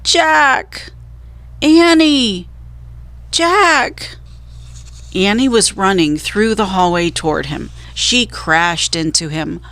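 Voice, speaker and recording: Slow, deliberate woman reading a children's story. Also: lowish voice, fairly even intonation, fairly bright tone, no room sound. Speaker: storybook reader. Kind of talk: scripted children's story narration.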